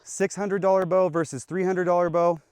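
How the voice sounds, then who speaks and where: A man's voice: high-pitched, flat delivery, fairly quick, a little mellow, clean tone, no room sound, studio quality. A young man hosting an archery vlog outdoors on public land, hyped and slangy, talking to the camera. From Pacific Northwest of the US. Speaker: vlogger. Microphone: action camera.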